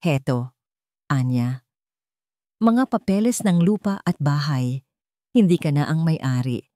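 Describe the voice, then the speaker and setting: A woman's voice: low voice, expressive, slow, warm tone, dry recording, clean studio sound. A woman voicing a cold, commanding, contemptuous ex-husband in an audio romance story. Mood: harsh, dismissive.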